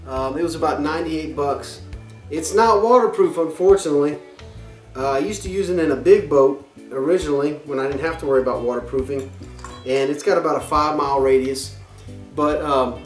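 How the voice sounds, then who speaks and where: A man's voice: on the high side, fairly quick, echoey room, distant mic, faint music behind. A man in his thirties hosting a kayak fishing show, answering viewer questions to camera in an upbeat, chatty way from his living room. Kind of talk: casual Q&A to camera. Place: living room, seated on a couch.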